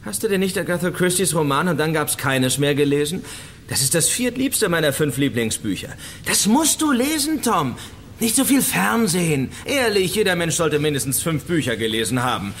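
An actor in a radio crime drama playing a taunting, theatrical villain who lectures smugly about guilt and redemption. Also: male voice, fairly high pitch, big pitch swings, normal speed, a bit bright, a little hiss or hum. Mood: smug, mocking. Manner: smug, theatrical, mock-pedantic.

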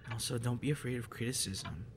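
A young man acting a busy, guarded friend in an intimate audio roleplay, with halting, stammering delivery. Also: mid-range voice, background noise, natural voice. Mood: tender, soft.

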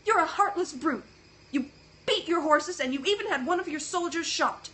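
Woman reading as a soft-hearted little girl, pitched way up, teary and pleading, storybook read-aloud. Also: animated, normal speed. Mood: tearful pleading. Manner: pleading, tearful.

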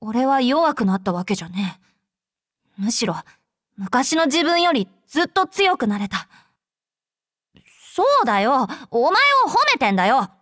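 High, brash tsundere android girl. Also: very animated, a little slow, long pauses, a bit bright, dry recording, studio quality, heavily acted. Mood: earnest, shy. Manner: rough, tomboyish, blunt speech. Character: brash, tsundere young female android soldier. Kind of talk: Japanese anime game character voice lines.

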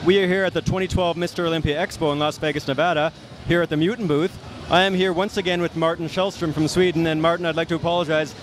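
A man's voice: fairly high pitch, steady tone, fast. A young man hosting an interview at an expo booth, chatty and long-winded, repeating himself while holding a handheld mic. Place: busy expo hall booth. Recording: noisy trade show floor.

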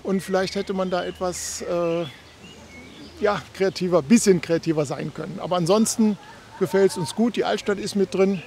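High-voiced, creaky man in street interview. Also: fairly even intonation, normal speed, a little mellow. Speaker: garden show visitor interviewed. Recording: outdoor interview with ambient noise.

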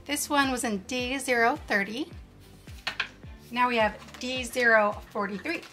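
Young woman host, high and bright, excited toy unboxing, faint music under. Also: a little slow. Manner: gasping, excited exclamations over toys. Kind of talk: cheerful toy unboxing narration. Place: indoors at a tabletop set.

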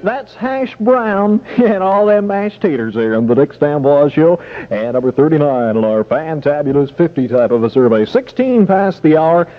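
A man's voice: a little high, big pitch swings, normal speed, crowd noise. A man with an American accent doing chatty, jokey top-40 DJ patter on 1960s radio. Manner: chatty, jokey, upbeat showman. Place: radio studio. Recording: muffled phone-like old radio tape, music behind.

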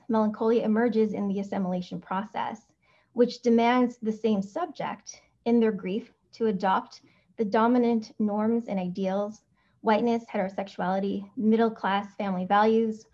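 A woman in her thirties who grew up in the Philippines, with Tagalog as her first language, reading a prepared conference paper in a measured, deliberate way over a video call from home. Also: medium pitch, steady tone, darkish tone. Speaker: academic speaker. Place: home room, indoors. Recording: slightly dull video call audio.

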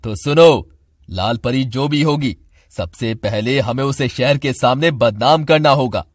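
A man's voice: mid-range voice, measured pace, dry recording, clean studio sound, natural voice. A man voicing a sinister, scheming old sorcerer in a cartoon, with a menacing, commanding delivery. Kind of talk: Hindi cartoon voice acting.

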